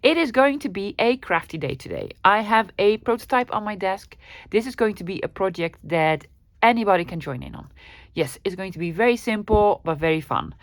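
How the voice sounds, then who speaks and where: A woman's voice: mid-range voice, lively intonation, a little fast, no echo. A woman from the Netherlands with a Dutch accent, chatting her way through a craft tutorial with plenty of ums and restarts. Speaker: craft channel host.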